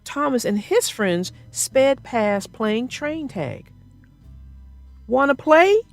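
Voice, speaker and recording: A woman narrating a children's storybook aloud in an expressive way. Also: fairly high pitch, takes it slowly, faint music behind.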